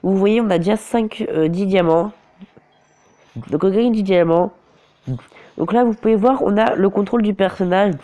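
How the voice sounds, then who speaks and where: A man's voice: high-pitched, moderate pace, long pauses, mellow. Hesitant guy with a cold. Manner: hesitant, often restarts and corrects himself. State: has a cold and a sore throat. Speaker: mobile game commentator. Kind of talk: casual gameplay commentary. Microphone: phone screen recorder.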